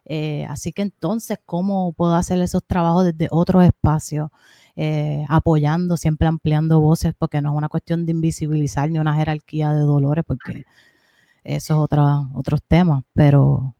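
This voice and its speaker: A woman in a casual conversation who speaks in a halting way, often restarting while she searches for words. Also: deep voice, little pitch movement, dark tone, no room sound.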